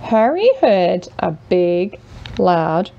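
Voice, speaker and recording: A woman reading a children's picture book aloud as a mother would, expressively and with dramatic pauses. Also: fairly low pitch, big pitch swings, vocal fry, lo-fi sound. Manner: measured, with dramatic pauses and hushed drawn-out words. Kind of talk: expressive children's storybook narration.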